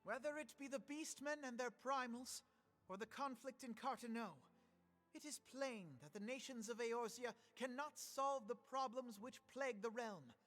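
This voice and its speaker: High-voiced idealistic young commander. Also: male voice, normal speed, a bit bright, faint music behind. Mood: rousing, solemn. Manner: formal, lofty, archaic phrasing. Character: idealistic young male commander rallying his comrades. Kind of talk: scripted game voice acting, oratory.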